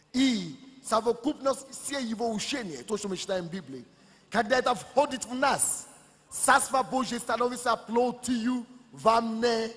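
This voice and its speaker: A man's voice: very high-pitched, a bit bright. A male pastor preaching a sermon with impassioned, emphatic declarations. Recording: long church hall reverb with music.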